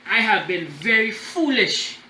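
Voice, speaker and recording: A man voicing a vain, proud emperor in a storybook, speaking in a pompous, deliberate way. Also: sits high, very animated, bright, forceful tone, far from the mic, full character voice. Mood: ashamed. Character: vain, proud male emperor, grandly self-admiring. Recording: roomy echo, faint background noise.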